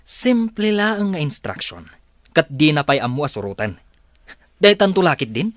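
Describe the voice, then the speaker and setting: A man's voice: a little high, sing-song, normal speed, fairly bright tone, dry recording, studio quality. A man with an Ilocano accent playing an anxious, exasperated company executive in a radio drama.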